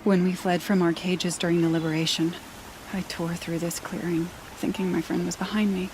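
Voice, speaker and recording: A woman's voice: lowish voice, flat delivery, moderate pace, background noise, close to an everyday voice. A woman playing a mourning tribeswoman in a video game, speaking solemnly and poetically. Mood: solemn grief. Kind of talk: video game dramatic dialogue.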